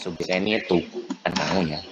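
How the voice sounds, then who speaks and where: A young man teaching French on a video call, drilling pronunciation slowly and repeating phrases. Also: low voice, fairly even intonation, noisy background. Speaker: French tutor. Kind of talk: online French lesson.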